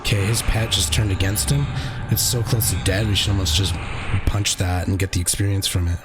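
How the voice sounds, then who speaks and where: A man with a deep voice casually commentating his own online game session, thinking aloud and swearing now and then. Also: steady tone, fairly quick. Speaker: gaming channel host. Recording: game music behind the voice.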